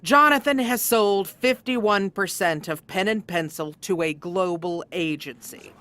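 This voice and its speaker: An actress playing a stern, condescending chief executive in a scripted comedy, blunt, formal and commanding. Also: female voice, on the low side, measured pace, fairly bright tone. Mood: formal announcing. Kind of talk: scripted workplace comedy acting.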